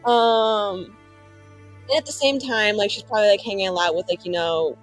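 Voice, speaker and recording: A woman casually improvising her character's story as a tabletop game player, in animated, slangy speech peppered with "like". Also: mid-range voice, moderate pace, faint music behind. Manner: animated slangy chat full of "like".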